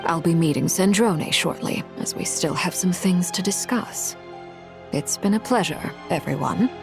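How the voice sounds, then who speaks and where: A woman's voice: on the low side, unhurried, gravelly, over music. An actress playing a poised, courteous noblewoman with an elegant, polished manner in a video game. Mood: formal, courteous.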